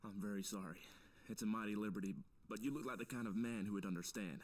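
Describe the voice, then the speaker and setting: A man's voice: on the low side, fairly even intonation, fast talker, close to an everyday voice. A man voicing a rattled, secretive male stranger who urgently asks a neighbor for help, speaking in an apologetic, pleading way.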